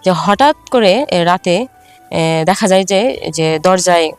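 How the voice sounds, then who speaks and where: A middle-aged woman with a Bangladeshi Bengali accent tells a first-person life story, halting and repeating herself with filler phrases. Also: fairly low pitch, animated, smooth, faint music behind. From Faridpur, Bangladesh.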